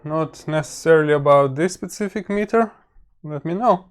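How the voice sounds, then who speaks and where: A man's voice: on the high side, clear, resonant tone. A man from Ukraine with a Ukrainian accent, musing half to himself as he works on electronics in his home workshop. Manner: slow, musing, mutters to himself while searching. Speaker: electronics hobbyist host. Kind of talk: casual workbench commentary.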